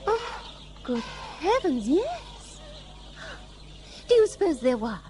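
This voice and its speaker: A high-voiced, cheerful colonial lady. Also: big pitch swings, slow pace, noisy background, exaggerated voice. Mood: bright, delighted. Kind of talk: period radio drama acting.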